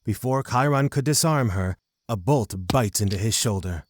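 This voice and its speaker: A man's voice: on the low side, animated, normal speed. A man narrating a scripted fantasy audio drama.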